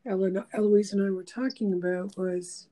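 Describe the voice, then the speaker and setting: A woman's voice: mid-range voice, fairly even intonation, normal speed, mellow. A woman hosting a casual chat, talking in a meandering, reflective way with many fillers. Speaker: host.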